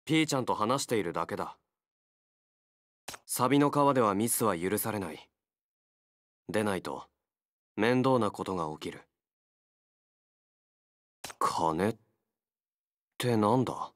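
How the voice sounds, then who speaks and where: Feral young loner, game character, gruff and wary, terse halting lines, slow delivery, swinging pitch, dry close sound. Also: male voice, lively intonation, dry recording. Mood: subdued, earnest. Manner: blunt, terse, halting speech. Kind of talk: Japanese game character voice acting.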